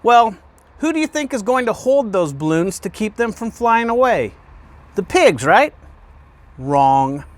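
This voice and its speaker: A man reading a children's picture book aloud in an expressive storytelling way, with emphatic pauses and questions put to the listener. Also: high-pitched. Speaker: guest reader, fire department deputy chief.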